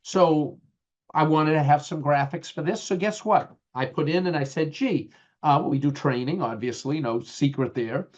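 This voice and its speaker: A middle-aged man with a Boston accent talks through a webinar, chatty and loose, with plenty of fillers and restarts. His voice sits a little high for a man. The audio is dull and lo-fi, like a webinar recording. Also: moderate pace. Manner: conversational, many fillers and restarts. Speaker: webinar presenter. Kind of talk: webinar talk with slides.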